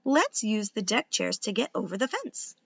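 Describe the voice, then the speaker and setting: A woman voicing a spirited, excitable young twin girl in a storybook, emphatic and dropping in Spanish words. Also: medium pitch, moderate pace, gravelly, breathy edge, dry recording, lo-fi sound, full character voice. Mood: excited.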